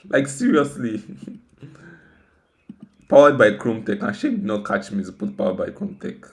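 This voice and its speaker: A man's voice: on the low side, sing-song, moderate pace. A man giving a casual, unscripted coding walkthrough as tutorial host, rambling, self-deprecating and repeating words and phrases. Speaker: tutorial host, software developer.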